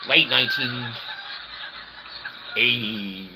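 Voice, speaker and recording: A man hosting his channel in a rambling promotional monologue, wordy and pseudo-technical, animated, often tagging his sentences with a check for agreement. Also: medium pitch, extremely slow, gravelly, noisy background. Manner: wordy pseudo-technical talk with repeated tag questions. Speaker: channel host.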